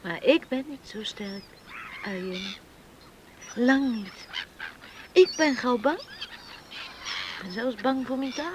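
A loving but timid village girl in a radio play. She speaks slowly in a lightly high voice, affectionate and hesitant. Some faint noise sits behind her on the recording. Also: very animated. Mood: admiring. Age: young adult. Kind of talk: Dutch radio play acting.

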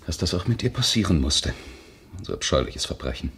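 A man's voice: deep voice, big pitch swings, a little fast, vocal fry, a bit bright, breathy edge, heavily acted. An actor playing an ingratiating real estate broker, oily and hesitant in his stilted politeness, in a German radio crime drama. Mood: insinuating. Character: smarmy, ingratiating male real estate broker with hidden motives.

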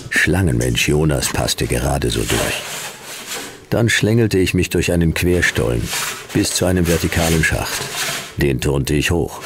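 An actor playing a laconic, weary private detective, narrating and talking in a dry, deadpan way in a radio play. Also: male voice, rumbling low, gravelly, noisy background, full character voice.

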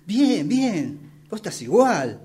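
A man's voice: sits high, big pitch swings, slow, darkish tone, breathy edge, full character voice. A man with a Rioplatense Argentine accent acting a chatty, over-familiar, effusive acquaintance in a radio play. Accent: Rioplatense Argentine Spanish. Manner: effusive, chummy.

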